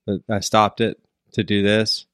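A man's voice: on the low side, flat delivery, a little slow, a bit bright, smooth, no room sound. A man co-hosting a podcast in casual chat, with dry, wry teasing. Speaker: podcast co-host.

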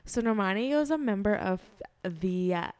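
A woman's voice: medium pitch, animated, a little mellow, clear, resonant tone. A woman co-hosting a pop-culture podcast, chatting casually and filling her talk with fillers while nursing a cold. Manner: chatty, fast-flowing, heavy with fillers. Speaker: podcast co-host.

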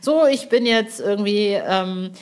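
A woman's voice: mid-range voice, unhurried, clean tone. A woman hosting a podcast interview in casual, effusive fan chat, with colloquial slang and the odd swearword.

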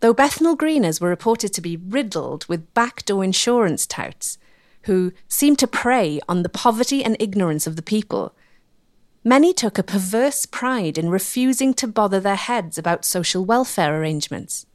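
A woman delivering scripted documentary narration in a measured, rhetorical manner with emphatic phrasing. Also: medium pitch, lively intonation, no echo, clean studio sound.

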